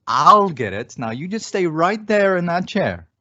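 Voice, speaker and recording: Man playing animated, doting sitcom husband, muffled recording. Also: high-pitched, measured pace, exaggerated voice. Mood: excited, confiding. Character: doting husband, excitable, eager and fussing father-to-be. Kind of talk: 1940s radio sitcom acting. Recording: muffled old-time radio style recording.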